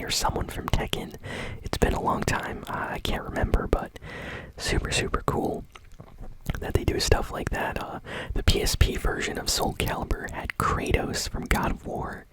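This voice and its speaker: A woman's voice: extremely high pitch, rough recording. Woman whispering casual ASMR gaming news. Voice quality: whispered, breathy. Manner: casual, hesitant, thinking aloud.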